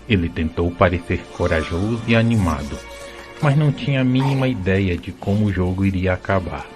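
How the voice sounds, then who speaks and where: A man with a Brazilian accent narrates a fantasy audio drama as a storyteller. His voice is fairly low, and soft music runs behind the reading. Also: expressive, brisk.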